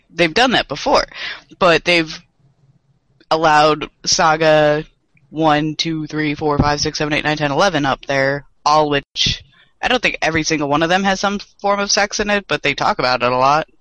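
A man's voice: fairly high pitch, normal speed, bright, forceful tone, no echo, low-quality recording. A young man co-hosting a casual podcast chat, joking and swearing freely, with a dry voice today. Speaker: podcast co-host.

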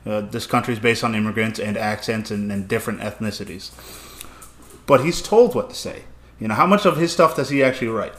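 A man co-hosting a podcast, talking in a measured, hedging way with many fillers. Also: fairly low pitch. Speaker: podcast co-host. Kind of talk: casual chat. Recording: echoey room.

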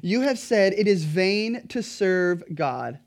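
A pastor preaching a sermon in an earnest, emphatic way, his throat a little dry and raspy. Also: high-pitched, sing-song, takes it slowly.

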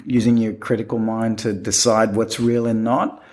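French-accented man, halting chat. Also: on the low side, level tone, a little mellow. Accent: Quebec French. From Quebec, Canada. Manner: rambling, many restarts and fillers. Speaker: guest, professional magician. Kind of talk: casual interview chat.

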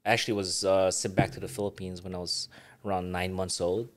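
A man's voice: low voice, little pitch movement, a little fast. A man in his forties whose first language is Ilocano, telling stories in a laid-back, joking way with lots of fillers. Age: 40s. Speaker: podcast guest, retired boxer. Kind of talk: casual interview chat.

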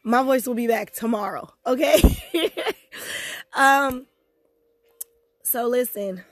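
A young woman hosting a personal podcast in casual chat, bubbly and quick to laugh, her voice hoarse from a sore throat. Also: on the high side, no echo. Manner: bubbly, chatty, laughs easily.

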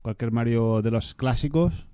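A man's voice: medium pitch, measured pace, mellow, clear, resonant tone. A man, chatting casually and enthusiastically, often trailing off. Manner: enthusiastic, swears casually, trails off mid-sentence. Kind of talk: casual chat about video games.

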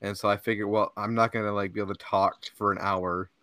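A man's voice: fairly low pitch, little pitch movement, fast, bright, forceful tone, no room sound. A young man hosting a podcast, chatting casually and rambling, laughing often and saying "like" and "dude" a lot. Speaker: podcast host. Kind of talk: casual chat. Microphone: podcast mic.

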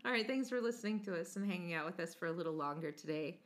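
American lady podcast host, bit low voice, chatty, laughs a lot. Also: lively intonation, fast. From United States. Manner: chatty, laughs often, puts on mock accents. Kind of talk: casual podcast chat.

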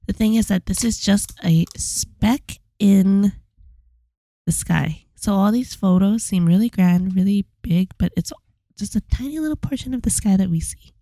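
A woman's voice: medium pitch, unhurried, dark tone, dry recording. A young woman co-hosting a podcast in laid-back casual chat, her voice worn and losing out. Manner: laid-back, enthusiastic with swearing. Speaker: podcast co-host.

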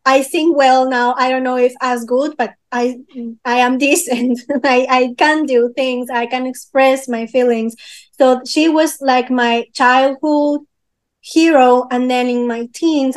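High-voiced woman from Peru, searching for words. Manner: searching for words, many fillers and restarts. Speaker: guest musician. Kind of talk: casual interview answers.

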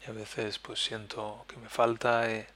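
A man from Spain with a Spanish accent, hosting a podcast and talking softly and haltingly about his feelings. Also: on the low side, completely flat delivery, no room sound. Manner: soft-spoken, introspective, halting. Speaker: podcast host. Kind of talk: personal reflective monologue. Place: living in Germany.

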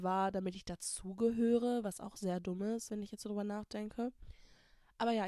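A woman's voice: medium pitch, warm tone, dry recording, clean studio sound. A young woman in her twenties from Germany, hosting a personal monologue, talking candidly and with emphasis, dropping English words into her German. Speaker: podcast host.

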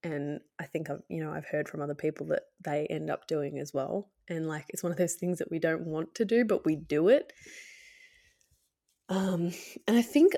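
A woman's voice: low voice, normal speed, creaky, warm tone. A woman hosting a podcast in a casual, candid, hesitant way, her voice nasal and slightly scratchy from a cold. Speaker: podcast host. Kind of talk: casual solo podcast talk.

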